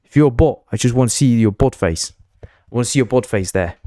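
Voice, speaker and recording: A man's voice: mid-range voice, fast, dark tone, no room sound, studio quality. A man whose first language is Spanish, hosting a casual live coding stream, thinking aloud, repeating himself and playfully teasing his chat. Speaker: game developer streaming live.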